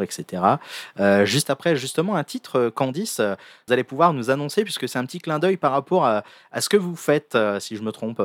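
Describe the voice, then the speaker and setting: Fast-talking low-voiced radio host. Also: male voice, no room sound. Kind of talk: radio interview.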